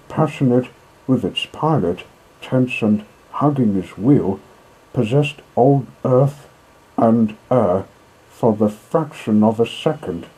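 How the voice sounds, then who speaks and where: Male audiobook narrator, husky and unhurried, mellow tone, measured dramatic storytelling for a children's classic. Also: medium pitch, poor audio.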